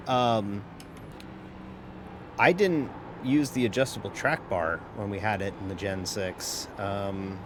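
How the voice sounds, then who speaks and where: A man's voice: on the low side, background noise. A man streaming a sim race, chatting casually in a rambling, self-mocking way, full of fillers and casual swearing. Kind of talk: casual live-stream chat while racing.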